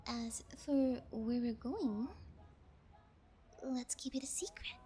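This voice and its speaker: A high, girlish female kidnapper fiend, acted by a woman for an ASMR audio roleplay. She talks slowly, drawing out sugary, sociopathic taunts and theatrical pouts. Faint noise sits behind the voice. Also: fairly even intonation, dark tone. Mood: sweetly menacing. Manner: sugary taunting, drawn-out, theatrical mock pouting. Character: playful young female fiend kidnapper, sociopathic, childish, mocking.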